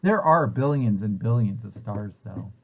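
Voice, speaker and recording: A man's voice: lowish voice, animated, measured pace, poor audio. A man reading a children's picture book aloud in an explanatory way, posing questions and then answering them. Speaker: preschool site director reading aloud to children.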